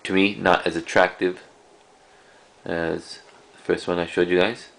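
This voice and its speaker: A man giving a casual, unscripted show-and-tell of a collection in a halting, rambling way. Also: low voice, flat delivery, vocal fry, fairly bright tone, poor audio. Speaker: cassette collector presenting a collection.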